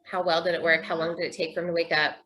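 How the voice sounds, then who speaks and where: A woman talking casually and informally, as in a relaxed conversation between friends. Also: fairly low pitch, rapid-fire, a little hiss or hum. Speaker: podcast host, parent. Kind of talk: casual chat.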